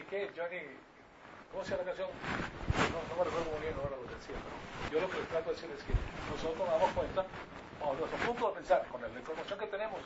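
An older man from Venezuela with a Venezuelan Spanish accent, preaching an informal Bible lesson in a didactic, repetitive way with rhetorical questions to his listeners. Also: a little high. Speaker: preacher teaching a congregation. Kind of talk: informal Bible-teaching sermon. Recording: distant mic, muffled, clearly noisy.

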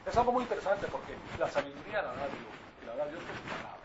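Older Venezuelan preacher, muffled and distant. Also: male voice, a little high, sing-song, quick pace. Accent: Venezuelan Spanish. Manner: didactic, repetitive, with rhetorical check-in questions. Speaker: preacher teaching a congregation. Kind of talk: informal Bible-teaching sermon. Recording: distant mic, muffled, clearly noisy.

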